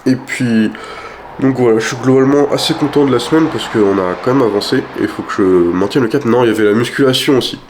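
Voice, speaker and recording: A man's voice: mid-range voice, quick pace, noisy background. A young man vlogging casually about his week, rambling with lots of fillers and restarts, sounding stuffy from a cold. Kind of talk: casual weekly vlog chat.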